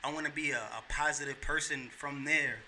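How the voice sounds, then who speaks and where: Man in his thirties, from New York, earnest slangy talk, fairly high voice, very bright tone, faint noise behind. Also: fairly even intonation, brisk. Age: 30s. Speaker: podcast guest. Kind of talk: casual chat.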